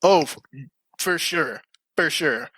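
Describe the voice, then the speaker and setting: A man hosting a casual group podcast chat, talking in an animated, emphatic way. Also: a little high, slow pace, husky, no echo. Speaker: podcast host.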